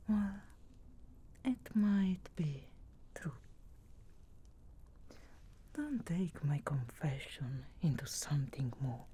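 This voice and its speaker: A woman playing a sharp-tongued, teasing young witch in a soft, whispered ASMR roleplay, speaking intimately and in measured phrases close to the microphone. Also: low-pitched, slightly rough, full character voice. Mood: teasing, wry. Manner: slow, intimate, measured delivery with long pauses.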